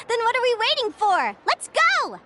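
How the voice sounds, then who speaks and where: A woman's voice: extremely high pitch, heavy background noise, exaggerated voice. A woman voicing a young, curious bird fae explorer in a video game, talking in an eager, wondering way. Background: game music.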